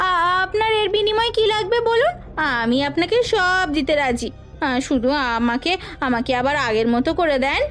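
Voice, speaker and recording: Woman as very shrill, dramatic village housewife. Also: lively intonation, moderate pace, fairly bright tone, faint music behind. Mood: pleading. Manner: exclaiming, wailing, beseeching. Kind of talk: melodramatic cartoon voice acting.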